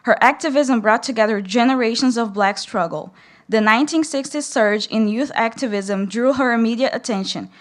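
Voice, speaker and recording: A woman's voice: fairly high pitch, moderate pace. A young woman from Brazil with a Brazilian accent, a native Portuguese speaker, speaking and reading aloud to an audience as a student. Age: young adult. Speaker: student reader at a public read-in.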